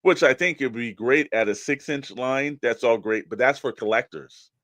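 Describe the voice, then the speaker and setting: A man's voice: mid-range voice, normal speed, fairly bright tone, no echo. A man co-hosting a podcast, chatting casually and off the cuff, giving his opinions in a rambling, colloquial way. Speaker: podcast co-host discussing collectible toys. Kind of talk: casual unscripted opinion chat.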